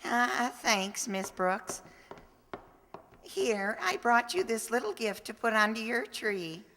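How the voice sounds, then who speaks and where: A very lively, swinging voice echoes in a big hall. It is a woman as an awkward, earnest teenage boy in an old-fashioned radio comedy, puffing up flowery formal phrases. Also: a little high, takes it slowly, slight background noise, heavily acted. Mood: eager, pompous. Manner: flowery, mangled formal wording. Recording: echoey hall, long reverb.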